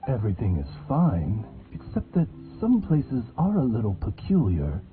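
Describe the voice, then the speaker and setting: A man's voice: mid-range voice, huge pitch swings, normal speed. A man playing a courteous, devoted raven attendant with a formal, deferential manner in a video game. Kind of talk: video game character acting. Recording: tinny game capture with music.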